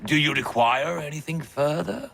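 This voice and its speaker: A man's voice: a little high, expressive, moderate pace, gravelly, background noise. A male actor playing a henpecked, obliging innkeeper in a video game, hesitant and stammering. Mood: flustered. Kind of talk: video game cutscene acting.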